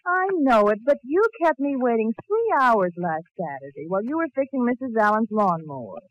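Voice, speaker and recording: A high-voiced, animated young sweetheart. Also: female voice, fairly quick, highs cut off. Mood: scolding. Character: affectionate but scolding, coaxing young sweetheart. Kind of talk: 1940s radio comedy acting. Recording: old 1940s radio recording.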